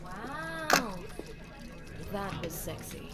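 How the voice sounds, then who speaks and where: Woman as wry female colleague over loud music. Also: mid-range voice, sing-song, drawn-out words, heavily acted. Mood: teasing. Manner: casual, wry. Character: teasing, blunt female office colleague. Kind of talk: scripted audio roleplay acting.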